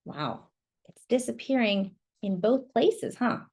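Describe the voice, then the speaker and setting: Slow, very animated woman reading aloud. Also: on the high side, gravelly, breathy edge. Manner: asks young listeners questions and comments on pictures. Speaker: storyteller. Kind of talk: children's picture book read-aloud.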